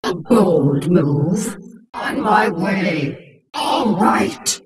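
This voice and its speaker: A woman's voice: on the low side, expressive, very slow, shouting, distant mic, crowd noise. An actress playing an imperious infested alien queen in a video game, cold and threatening with an echoing effect. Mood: cold menace. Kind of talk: video game unit quotes.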